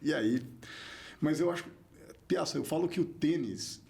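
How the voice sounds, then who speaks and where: A Brazilian man in his forties with a São Paulo state accent, speaking as a podcast guest. His voice has a gravelly edge, and he drives his points home by repeating them with lively emphasis. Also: fairly high pitch, normal speed. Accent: São Paulo state Portuguese. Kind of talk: casual interview talk.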